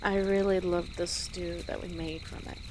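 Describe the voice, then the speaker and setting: A woman playing an easygoing, friendly female orc travel guide in an audio roleplay, speaking gently and conversationally to the listener with pauses. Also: on the low side, little pitch movement, background noise, close to an everyday voice. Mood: fond, chatty. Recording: outdoor nature ambience with birdsong behind. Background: birds and rural outdoor ambience.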